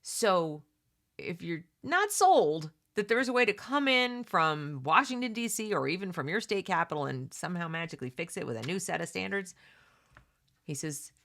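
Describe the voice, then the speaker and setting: A woman's voice: fairly low pitch, lively intonation, normal speed, a bit bright. A woman hosting a read-along, mixing reading aloud with casual, opinionated commentary in an emphatic, conversational way that addresses listeners directly.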